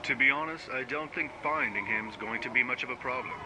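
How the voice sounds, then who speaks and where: A man voicing a calm, reassuring British police officer in a motion comic. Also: medium pitch, brisk, background noise, close to an everyday voice.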